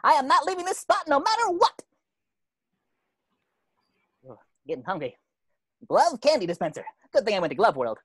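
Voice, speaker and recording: Woman as a wildly swooping, excitable cartoon sponge. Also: sits high, normal speed, long pauses, slightly rough, dry recording, clean studio sound, exaggerated voice. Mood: uneasy, muttering. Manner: theatrical, exclaiming, talking to self. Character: excitable, naive young male cartoon sea sponge. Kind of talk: amateur table read of a cartoon script.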